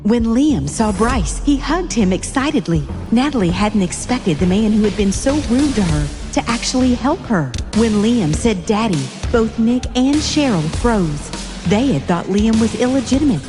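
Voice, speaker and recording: A woman's voice: medium pitch, long reverb tail. A woman narrating a scripted drama in a storytelling style. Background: music.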